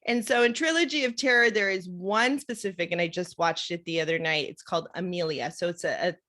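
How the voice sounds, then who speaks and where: A middle-aged woman hosts a podcast in a loose, chatty way, full of fillers and little restarts. Her voice sits a bit low, and she tells stories with lively enthusiasm. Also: brisk. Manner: chatty, animated storytelling with frequent fillers and laughs. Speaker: podcast host. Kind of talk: casual interview chat.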